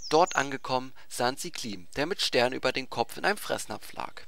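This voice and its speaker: A man's voice: mid-range voice, animated, brisk, vocal fry, fairly bright tone, a little hiss or hum. A man narrating a comic fantasy tale in a theatrical, emphatic scripted style. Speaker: storyteller.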